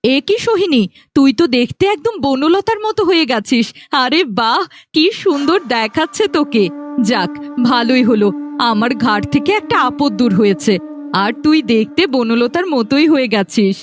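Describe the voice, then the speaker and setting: A woman's voice: high-pitched, lively intonation, moderate pace, faint music behind. A woman voicing a greedy, scheming middle-aged village mother in a fairy-tale cartoon. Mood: smug.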